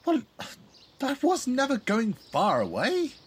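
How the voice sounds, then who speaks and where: Woman as polite grown son, husky, animated pitch, hesitant, earnest, exasperated, audio play. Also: measured pace, full character voice. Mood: incredulous.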